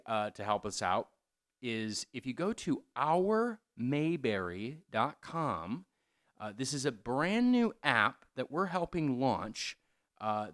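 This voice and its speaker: A man's voice: medium pitch, fairly bright tone, smooth. A man speaking informally and conversationally as a community theater spokesperson, making a casual promotional appeal to listeners.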